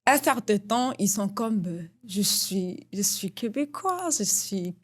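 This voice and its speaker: Woman host, normal pitch, chill interviewer, keeps saying mhm. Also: a little slow. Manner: relaxed, frequent backchannel "mhm". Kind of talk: casual interview questions.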